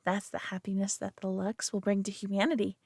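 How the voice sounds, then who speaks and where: A woman voicing a possessive, doting alien who coos over her pet human in an intimate roleplay audio drama, coddling and softly condescending. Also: mid-range voice, little pitch movement, brisk, gravelly, slightly rough, dry recording, clean studio sound. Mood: soothing, possessive.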